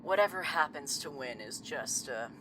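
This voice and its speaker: A woman playing a blunt, sardonic seer in an audio drama, speaking in a dry, deadpan manner. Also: low voice, normal speed, background noise. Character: blunt, sardonic woman who sees visions.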